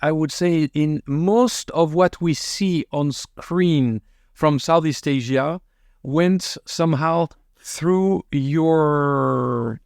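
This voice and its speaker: A man's voice: a little high, very slow, gravelly, darkish tone, dry recording, clean studio sound. A man hosting a podcast interview, speaking casually with many fillers and restarts. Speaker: podcast host.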